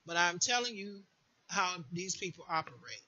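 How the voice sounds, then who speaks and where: A woman's voice: fairly low pitch, very animated, normal speed, pushed and bright, highs cut off. A woman giving informal spoken advice as a host, with an emphatic manner that repeats words for stress. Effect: voice distortion late in the recording. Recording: faint background noise.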